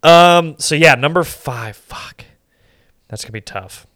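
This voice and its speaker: Clear-voiced, easygoing man in a dry studio. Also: a little high, measured pace, fairly bright tone, no echo. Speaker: podcast co-host. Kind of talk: casual conversational chat.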